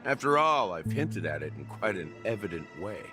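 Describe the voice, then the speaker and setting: A worldly, wry game veteran, with a low voice. He tells things unhurriedly, with lively swings in pitch and music clearly behind him. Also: moderate pace. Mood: knowing. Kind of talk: video game voice acting.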